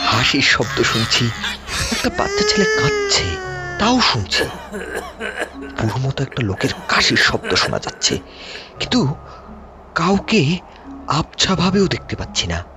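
Scared jittery young man telling a nightmare, slow and dramatic, music loud behind. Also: fairly high pitch, wildly swooping pitch. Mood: fearful, tense. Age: young adult. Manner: anxious, halting, dramatic delivery. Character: frightened, restless young man recounting his terrifying nightmare. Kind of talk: dramatised audio story storytelling. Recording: slightly muffled, loud background music. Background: prominent music.

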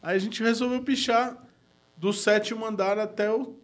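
A man's voice: high-pitched, steady tone, normal speed, a little hiss or hum. A man, a guest telling stories in casual chat, slangy, laughing often and dropping to a mutter. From Brazil. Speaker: guest graffiti artist.

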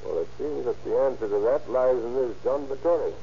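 An actor playing a brisk, commanding homicide captain in old radio crime drama style, clipped and authoritative. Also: male voice, mid-range voice, mellow, natural voice. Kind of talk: 1930s-40s radio crime drama acting. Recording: muffled, noisy old-time radio recording.

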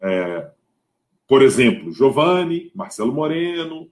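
A man with a Brazilian Portuguese accent hosting a casual football live stream, animated and often repeating words as he restarts. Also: mid-range voice, takes it slowly. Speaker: host.

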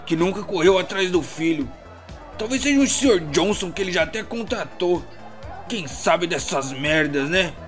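A man's voice: high-pitched, a bit bright. A man playing a brash, foul-mouthed street informant in an audio drama, slangy, taunting and boastful.

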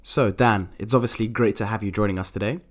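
A man's voice: lowish voice, fast talker. A male podcast host giving polished, formal introductions and asking questions.

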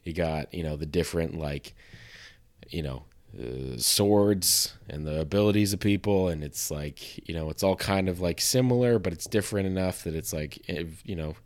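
A hoarse man with a sore throat, hosting a podcast in a casual, self-deprecating ramble full of fillers. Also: sits low, normal speed, a little mellow. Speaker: podcast host.

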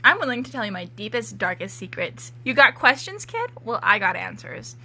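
A woman's voice: on the high side, big pitch swings, moderate pace, pushed and bright. A woman doing a casual comedic dramatic reading with banter, in a giggly, self-mocking and irreverent manner. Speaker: co-host and writer of the story being read.

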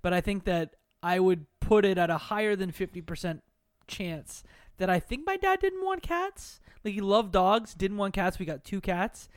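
A man's voice: sits high, lively intonation, normal speed, few pauses, clear, resonant tone, no echo. Dry-sounding man chatting casually. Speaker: podcast guest. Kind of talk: casual chat with personal anecdotes.

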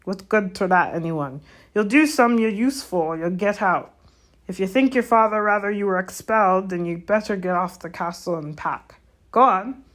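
A woman voicing a big, gruff male gamekeeper with rustic, commanding speech for a storybook reading. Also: medium pitch, normal speed, pitched above the performer's own voice, natural voice. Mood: fierce. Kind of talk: audiobook character voice.

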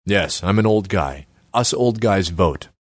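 A man's voice: sits low, animated, a little slow, no echo, studio quality. A man voicing a plain-spoken, earnest older male kiosk keeper in a story reading. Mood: earnest, pleading.

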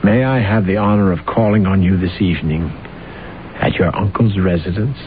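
An actor playing a vain, self-deluded assassin in an old radio drama, speaking formally and hesitantly. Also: male voice, deep voice, moderate pace, lightly acted. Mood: courtly, pretentious. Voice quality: hesitant, stammering. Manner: formal, self-important, courtly. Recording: muffled, noisy old radio broadcast recording.

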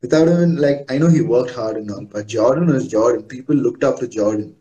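A man's voice: medium pitch, fairly quick, mellow, low-quality recording. A young man in casual chat on a video call, hesitant and stammering at times. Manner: hesitant, stammering, then keen.

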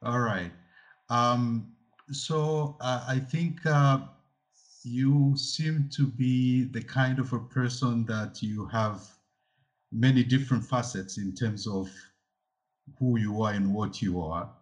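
A male host asking interview questions, slow and halting with frequent filler sounds. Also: medium pitch.

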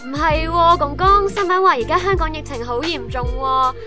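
A caring young Hong Kong granddaughter acted by a woman, high-pitched and Cantonese-accented. The light radio drama has clear music behind her. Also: normal speed, close to an everyday voice. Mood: caring, lively. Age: young adult. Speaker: voice actor in a student audio drama.

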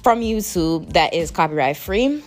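A young woman with a Caribbean accent speaks in a fairly low, soothing voice. She chats casually and playfully, laughing often and leaping up in pitch for emphasis. Soft music plays faintly behind her. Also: expressive, measured pace. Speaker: podcast host. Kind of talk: casual advice chat to listeners.

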